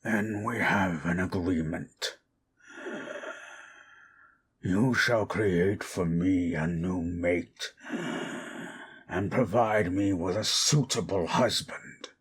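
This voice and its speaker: Casting: a wrathful, lonely undead creature for audio drama; husky voice, slow and theatrical delivery, formal speech. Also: male voice, sits low, heavily acted. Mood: cold menace. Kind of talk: dramatic audio roleplay monologue.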